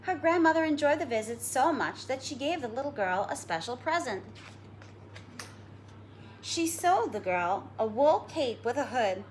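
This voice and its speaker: A woman schoolteacher giving a read-aloud lesson for children, explaining simply and deliberately. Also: sits high, expressive, a little hiss or hum. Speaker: elementary school teacher.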